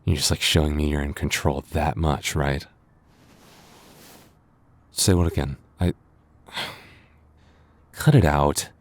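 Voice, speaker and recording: A man's voice: a bass voice, steady tone, slow pace, long pauses, exaggerated voice. A man playing a flustered, lovestruck young man in a boyfriend roleplay audio, stammering and bashful. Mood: playful, breathless protest. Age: young adult. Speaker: voice actor.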